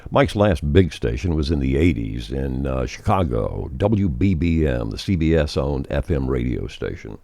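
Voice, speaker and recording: A man's voice: very deep voice, very animated, measured pace, gravelly, few pauses, warm tone, dry recording. An older man, a veteran radio presenter, giving an informal instructional talk in a folksy, anecdotal and emphatic way. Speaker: veteran radio programmer and former disc jockey presenting a series.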